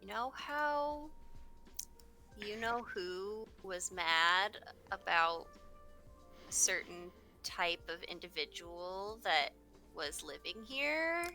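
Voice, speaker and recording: A woman plays a secretive young woman adventurer who coaxes and pleads. Her voice is very bright, lively in pitch, and slow and hesitant in delivery. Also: soft background music, full character voice, rough recording. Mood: coaxing.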